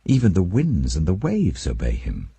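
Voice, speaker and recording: A man's voice: low voice, huge pitch swings, moderate pace, gravelly, warm tone, husky, dry recording, full character voice. A British man voicing frightened, awestruck disciples in a scripture audiobook, with urgent feeling.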